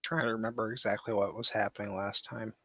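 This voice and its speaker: A man's voice: lowish voice, little pitch movement, fast, bright, forceful tone, dry recording, highs cut off. A man who plays in a tabletop game, chatting casually with friends. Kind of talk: casual chat.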